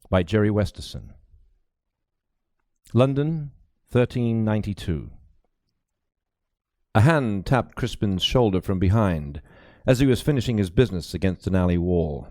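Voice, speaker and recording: A man's voice: fairly low pitch, mellow, dry recording, studio quality. A man reading a mystery novel aloud as a dramatic, scripted narration. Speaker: audiobook narrator, actor.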